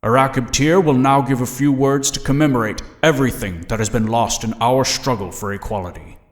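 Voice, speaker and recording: An earnest, ceremonious alien leader played by a man addresses a gala in a sci-fi audio drama. The voice sits at a middle pitch and rings out with long hall reverb. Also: a little fast, close to an everyday voice. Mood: solemn. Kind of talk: rousing formal public speech, sci-fi audio drama.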